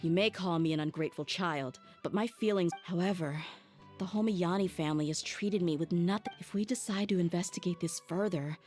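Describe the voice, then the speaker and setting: A woman's voice: fairly low pitch, fairly quick, darkish tone, soft background music, lightly acted. An actress playing a loyal, tough young female mercenary bodyguard in a video game, speaking frankly and respectfully. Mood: troubled, firm.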